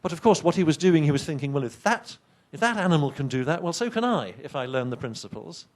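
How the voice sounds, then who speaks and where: Lively English man giving a science talk. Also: fairly high pitch, fast talker. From England. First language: not English. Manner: lively, chatty, wry asides to the audience. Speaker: conference speaker. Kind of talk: science presentation to an audience.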